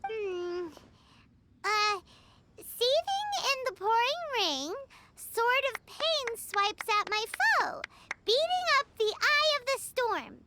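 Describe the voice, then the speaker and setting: A woman voicing a tiny, excitable floating fairy girl who talks about herself in third person, in a game cutscene. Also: very high voice, slow pace, full character voice. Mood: hesitant. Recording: loud background noise.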